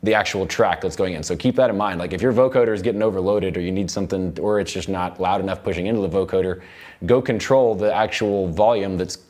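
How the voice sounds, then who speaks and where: A man's voice: low-pitched, fast, darkish tone. A man teaching music production in an informal tutorial, rambling loosely and thinking aloud as he works. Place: indoor recording studio.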